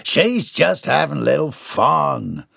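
A man's voice: fairly high pitch, very animated, creaky, pushed and bright, no room sound, clean studio sound, exaggerated voice. Man doing drawling country dad Jeb. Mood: amused. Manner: slow, easygoing country drawl. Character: jolly rough country husband and father, Jeb.

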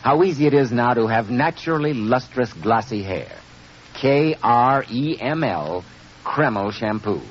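A man's voice: mid-range voice, lively intonation, unhurried, slight background noise. A man announcing in polished 1940s radio style as a programme announcer. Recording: muffled old radio broadcast, loud music.

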